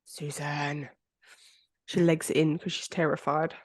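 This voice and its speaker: A woman's voice: sits low, flat delivery, unhurried, no room sound, studio quality. A woman hosting a true-crime podcast, chatting casually with a congested, bunged-up voice and plenty of filler words. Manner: chatty, rambling, with frequent fillers. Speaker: podcast host.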